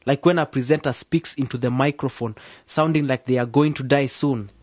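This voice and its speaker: An actor playing an outspoken, practical young club member in a radio drama, with a frank, questioning manner. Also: male voice, medium pitch, steady tone, fairly quick, a little mellow, dry recording, clean studio sound, natural voice.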